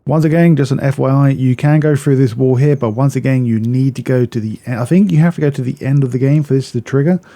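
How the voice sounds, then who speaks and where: A man's voice: mid-range voice, fairly even intonation, quick pace, hardly any pauses, dark tone. A man narrating a video game walkthrough in a casual, instructional way, explaining step by step and often repeating or correcting himself.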